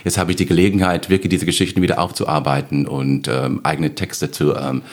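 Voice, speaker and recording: A man's voice: very deep voice, little pitch movement, fairly quick. A man from the United States chatting casually as a podcast guest, telling anecdotes in a playful, joking manner. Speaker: podcast guest, actor and filmmaker.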